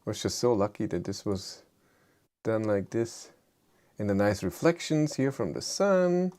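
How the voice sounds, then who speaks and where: A man's voice: sing-song, unhurried, darkish tone. Hesitant man with a German accent. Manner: hesitant, low-key, many fillers, self-deprecating. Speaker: livestream host. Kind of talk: casual live chat answering viewers.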